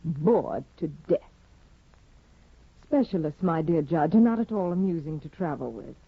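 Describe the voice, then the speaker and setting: An actress playing a bored, disdainful aristocratic young wife in a 1950s radio drama, with a haughty, sardonic, theatrical delivery. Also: female voice, low voice, animated, unhurried, breathy edge, no echo, exaggerated voice. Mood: weary disdain. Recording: dull vintage 1950 radio recording.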